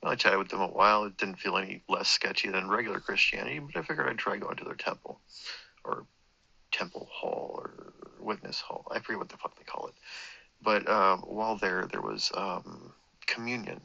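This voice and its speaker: Man with a sore throat, very low gravelly voice, rambling and self-correcting, dull lo-fi recording. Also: a little fast, sharp tone. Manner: meandering, self-correcting, swears casually. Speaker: vlogger. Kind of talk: rambling personal monologue.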